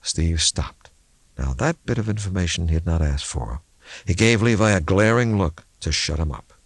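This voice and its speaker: A man reading a suspense novel as an audiobook narrator, in a measured, dramatic way. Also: low voice, lively intonation, slightly rough, no room sound.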